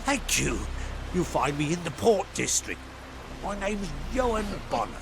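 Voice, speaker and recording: A desperate, courteous merchant from a fantasy game. His high voice is animated and creaky. He speaks formally and earnestly, with clear ambient noise around him. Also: unhurried, exaggerated voice. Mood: earnest, grateful. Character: desperate, polite shipwrecked merchant man facing bankruptcy. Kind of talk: video game voice acting.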